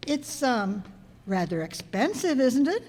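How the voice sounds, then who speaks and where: A woman's voice: sits high, sing-song, a little slow, a little mellow, exaggerated voice. A woman playing a wry, scheming, lovestruck schoolteacher with dry wisecracks, in old-time radio comedy style. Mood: coy, delighted. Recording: echoey hall, long reverb.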